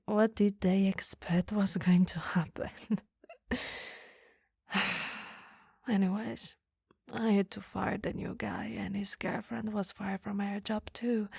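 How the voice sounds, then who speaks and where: A woman playing a coy, flustered female boss in a flirty ASMR roleplay, speaking in soft, breathy whispers with a teasing, hesitant manner. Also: medium pitch, dry recording, studio quality, heavily acted.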